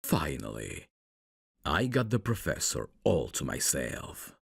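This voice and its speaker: A man playing a menacing, teasing mafia boss in an ASMR roleplay, speaking in a deliberate, taunting way. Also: very deep voice, huge pitch swings, gravelly, slightly rough, no room sound, clean studio sound, full character voice. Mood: calm, menacing. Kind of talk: ASMR roleplay audio drama.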